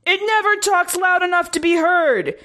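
A woman's voice: extremely high pitch, animated, moderate pace, sharp tone, voice pushed up, exaggerated voice. A woman voicing a callous, gossipy alien crew blob with a dismissive, grumbling manner in a sci-fi story. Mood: disdainful.

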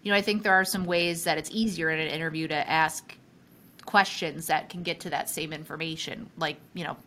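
A woman's voice: low voice, steady tone, fairly quick, sharp tone. A woman from the Buffalo area of New York who hosts a legal podcast and is a lawyer herself. She talks conversationally and agrees readily with her guest, with many "you know" fillers. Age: adult, past law school. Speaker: podcast host, employment lawyer.

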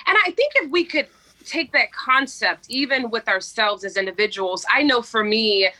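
A woman in her forties, a coach speaking as a guest in an interview, energetic and emphatic as she tells stories. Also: fairly high pitch, moderate pace, low-quality recording. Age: 40s. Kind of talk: interview conversation.

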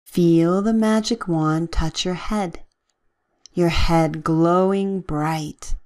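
A woman leading a guided relaxation with gentle, soothing, measured instructions and long pauses. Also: on the low side, fairly even intonation.

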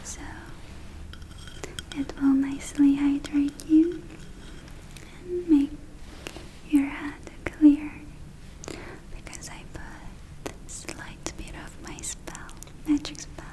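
Woman acting a caring spa witch, ASMR roleplay, soft whisper, very mellow tone, soothing and hesitant. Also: high voice, fairly even intonation, takes it slowly, pauses often, low-quality recording. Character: gentle caring witch running a magic spa. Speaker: ASMR performer.

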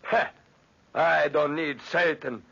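An actor playing an arrogant, tyrannical Italian master architect with an imperious, explosive manner in 1940s radio drama style. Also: male voice, a little high, slow, vocal fry, a bit bright, breathy edge, heavily acted. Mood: proud, impatient. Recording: noisy, muffled 1940s radio recording.

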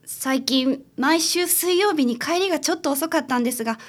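A woman's voice: sits high, normal speed, close to an everyday voice. A woman playing a polite, earnest, troubled daughter seeking a detective's help in a radio drama. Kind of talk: amateur radio drama acting.

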